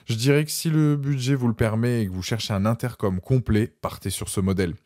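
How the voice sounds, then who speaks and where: A man's voice: medium pitch, steady tone, a little fast, dark tone, no room sound, studio quality. A man presenting a scripted product review voice-over, informally addressing viewers directly.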